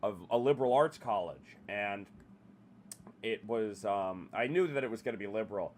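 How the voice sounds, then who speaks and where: A man's voice: fairly low pitch, normal speed, slight background noise. A young man hosting a podcast in casual chat, blunt and profane, ranting about his opinions. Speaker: podcast host.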